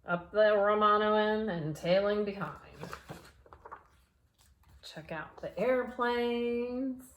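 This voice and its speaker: A woman reading a picture book aloud to children in a playful, expressive way, with asides to her young listeners. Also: mid-range voice, slow pace, pauses often.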